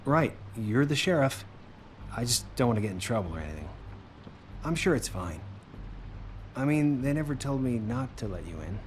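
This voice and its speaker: A man's voice: mid-range voice, very animated, normal speed, pauses often, slight background noise, natural voice. An actor playing a meek, nervous, eager-to-please male night worker in a video game, hesitant and apologetic. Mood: anxious, obliging.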